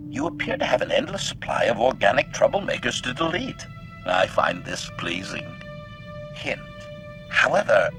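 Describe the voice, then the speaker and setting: Game droid assassin: low, gravelly, clipped, very formal. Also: male voice, full character voice. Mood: smug. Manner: clipped, labels each remark with one word. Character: cold assassin droid, male, murderous and formal. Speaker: combat droid companion. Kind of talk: video game voice acting.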